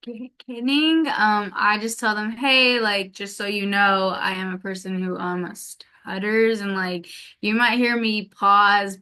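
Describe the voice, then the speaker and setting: A woman's voice: medium pitch, steady tone, measured pace, pushed and bright, smooth. A woman who stutters, with sound repetitions and lots of filler ums, talking casually as an interview guest. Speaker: podcast guest.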